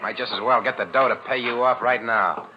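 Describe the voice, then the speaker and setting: A man's voice: medium pitch, fairly quick, close to an everyday voice. An American actor playing a brash, impatient hard-boiled crook in a 1940s radio thriller, with curt, slangy tough-guy snap. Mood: impatient, bullying. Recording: muffled, noisy old 1940s radio recording.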